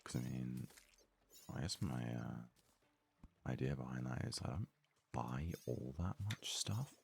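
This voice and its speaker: Very deep, creaky, hushed man. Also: a little slow, slight background noise. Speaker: gaming video host. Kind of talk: soft-spoken ASMR game commentary.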